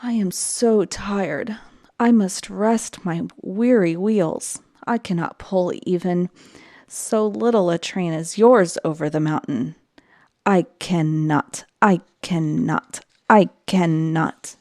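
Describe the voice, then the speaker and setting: Lady doing a worn out old train engine for a kids story, really slow and gloomy, drags out the words. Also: on the low side, a little mellow, dry recording, clean studio sound. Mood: weary, resigned. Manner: slow, drawn-out, resigned. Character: weary old male rusty engine, gloomy, sighing.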